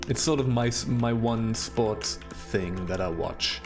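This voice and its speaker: A man's voice: mid-range voice, takes it slowly, over music. A man with a German accent giving casual, rambling commentary while playing a video game, chatty and self-deprecating. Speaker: let's play host.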